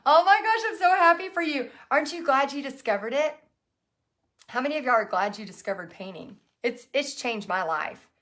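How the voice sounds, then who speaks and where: Hoarse woman chatting live. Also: high-pitched, lively intonation, moderate pace, a bit bright. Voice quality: folksy, chatty, full of fillers and restarts. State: hoarse, raspy from overuse. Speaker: painting instructor hosting a live stream. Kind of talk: casual live-stream chat, reading comments.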